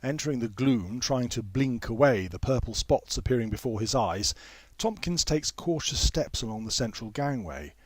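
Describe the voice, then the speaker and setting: A man narrates a piece of fiction in scripted audiobook style. His mid-pitched voice moves expressively up and down. The sound is dry, with very little room. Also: expressive, moderate pace, few pauses, slightly rough, no room sound. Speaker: author reading own story.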